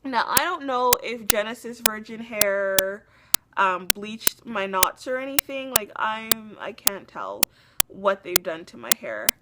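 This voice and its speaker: A woman's voice: mid-range voice, lively intonation, slow, heavy background noise. A young woman talking casually to her camera about her hair, chatty, with lots of fillers and restarts, a little sick and losing her voice. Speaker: beauty vlogger. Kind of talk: casual rambling hair review.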